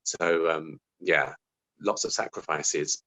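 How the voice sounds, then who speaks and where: A man's voice: a bass voice, takes it slowly, pushed and bright, low-quality recording. A British man answering interview questions, talking reflectively and conversationally about his own working life, often acting out his thoughts. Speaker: interview guest, TV writer.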